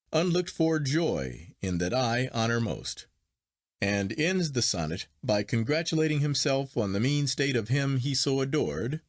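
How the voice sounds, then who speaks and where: A man reading a literary story aloud as an audiobook narrator, in a measured, formal manner. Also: mid-range voice, dry recording, clean studio sound.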